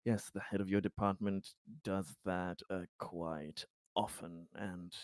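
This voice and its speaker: A man acts out a careful corporate executive in a tabletop game. He is lowish in pitch and formally polite, feeling his way through long, halting sentences. The sound is close and dry. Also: steady tone, no echo. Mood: politely disapproving. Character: cautious, diplomatic male corporate executive.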